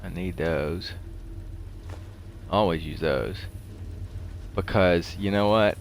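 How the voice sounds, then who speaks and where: A man's voice: sits low, takes it slowly, a little hiss or hum. A middle-aged man giving casual commentary as he plays a video game, rambling and talking to himself. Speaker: gaming video host.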